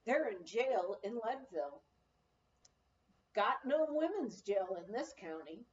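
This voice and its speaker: A woman's voice: mid-range voice, sing-song, takes it slowly, distant mic. Woman reader playing male small-town sheriff, easygoing, folksy, plain-spoken, roomy dull sound. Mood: matter-of-fact.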